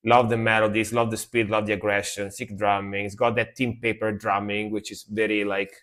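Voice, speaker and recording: Young adult male, Italian accent, lowish voice, casual and excitable music-reaction streamer. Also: a little fast. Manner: enthusiastic, rambling, repeats words like "sick".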